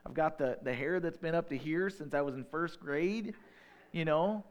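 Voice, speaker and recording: A man in his thirties with a Northern Illinois accent, preaching a sermon in a relaxed, conversational way with folksy asides. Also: on the high side, normal speed. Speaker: pastor.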